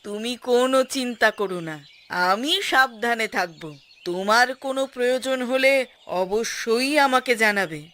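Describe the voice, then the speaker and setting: Woman as a calm, slow-speaking wandering female ascetic. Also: a little high. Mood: calm, composed. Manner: calm, formal, measured. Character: serene fearless wandering female ascetic of cremation grounds. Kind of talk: cartoon voice acting.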